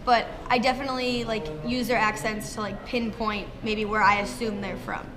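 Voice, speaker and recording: A woman's voice: fairly high pitch, little pitch movement, normal speed. A young woman with a Midwestern American accent giving plain, straightforward interview answers. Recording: noisy background.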